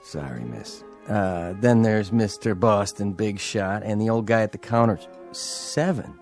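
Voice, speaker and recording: An actor playing a plain-spoken, puzzled bus driver with a folksy, matter-of-fact manner in an audio drama. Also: male voice, fairly low pitch, unhurried, vocal fry, a little mellow, soft background music.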